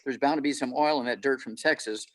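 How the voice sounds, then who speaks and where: A man's voice: medium pitch, fast talker, a bit bright. A man in his eighties, a panel guest on a video call, talking boastfully and rambling with many fillers. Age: 80s.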